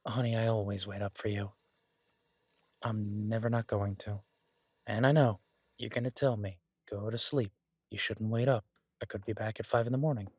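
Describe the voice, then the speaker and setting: Man playing a husky, devoted easygoing husband. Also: lowish voice, fairly even intonation, moderate pace, darkish tone, dry recording. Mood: caring. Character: devoted, easygoing husband and cook, gently teasing. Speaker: voice actor in an audio roleplay. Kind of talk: intimate one-sided audio roleplay, conversational.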